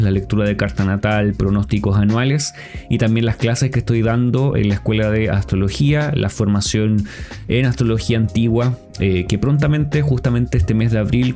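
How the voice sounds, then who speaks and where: Male podcast host, mellow dark tone, reflective, hesitant pauses, faint music behind. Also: on the low side. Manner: calm, reflective, many "eh" pauses. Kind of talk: casual podcast conversation.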